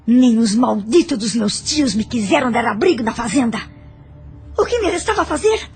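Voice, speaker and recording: A woman's voice: on the high side, animated, normal speed, a little mellow, soft background music. An actress playing a bitter, vengeful woman who threatens and taunts a former lover, in an audio drama. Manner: threatening, spiteful, scornful.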